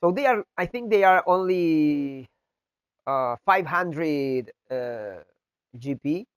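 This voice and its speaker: A man with a nasal, flu-congested voice, speaking English as a second language, gives a casual video game tutorial that is halting and full of fillers and restarts. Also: on the high side, animated, smooth, no room sound. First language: not English. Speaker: video game content creator. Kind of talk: casual gameplay tutorial talk.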